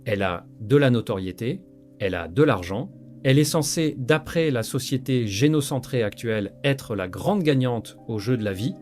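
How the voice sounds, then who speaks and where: A man's voice: medium pitch, lively intonation, moderate pace, a little mellow, soft background music. A man narrating scripted commentary over clips in a blunt, lecturing way. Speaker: commentary channel host.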